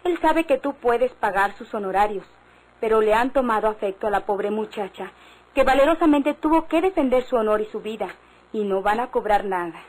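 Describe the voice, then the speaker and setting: Woman acting a genteel high-voiced young Mexican lady. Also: moderate pace, natural voice, low-quality recording. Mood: gently insistent. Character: genteel, insistent young lady of a city lawyers' family. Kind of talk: old-time radio drama acting.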